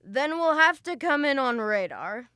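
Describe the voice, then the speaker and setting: A woman's voice: high-pitched, very animated, unhurried, sharp tone, dry recording, studio quality, exaggerated voice. A woman playing a stern, commanding spaceship navy captain in a student radio drama, giving clipped orders. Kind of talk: old-time radio drama acting.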